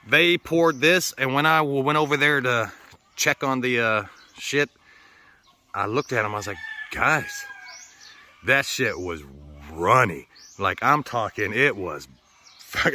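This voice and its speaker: Bright-voiced, foul-mouthed man vlogging casually. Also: medium pitch, a little hiss or hum. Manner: profane, slangy, blunt. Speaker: vlogger hosting a channel. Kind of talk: casual rambling vlog talk to viewers.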